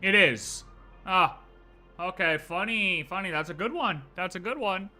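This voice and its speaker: A young man reacting live as a game streamer, with a bright, punchy voice. His intonation jumps around and he talks in a slangy, jokey, excitable way over faint music. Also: high-pitched, a little slow. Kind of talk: casual live reaction commentary.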